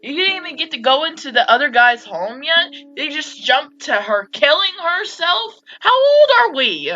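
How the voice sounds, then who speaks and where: A woman playing a visual novel and commenting casually, with slangy, expressive reactions. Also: high voice, moderate pace, lo-fi sound, faint music behind. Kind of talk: casual let's play commentary.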